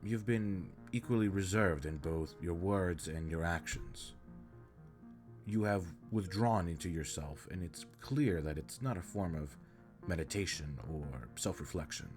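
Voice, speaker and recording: Guy doing a really deep alien space captain for a roleplay audio, stiff n polite, earnest and caring, faint music under it. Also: a little mellow. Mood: concerned, apologetic. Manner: stiff, formal, precise and measured wording. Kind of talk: scripted roleplay audio drama, addressing the listener.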